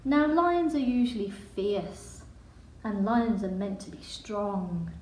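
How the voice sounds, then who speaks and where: A woman reading a children's picture book in verse with a measured, dramatic storytelling delivery. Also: medium pitch, darkish tone, slight background noise. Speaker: storybook reader. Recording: echoey room.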